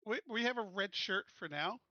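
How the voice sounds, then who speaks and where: Guy player in a tabletop game, kinda high bright voice, blunt, dry slightly muffled mic. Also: expressive, brisk, dry recording. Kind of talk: casual chat during a game.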